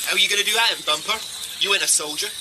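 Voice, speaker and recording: An actor playing a level-headed, sceptical village man with a rural southern English accent in a radio play, dry and gently mocking. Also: sits high, quick pace, very noisy, close to an everyday voice. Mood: sceptical, firm. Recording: music behind the voice.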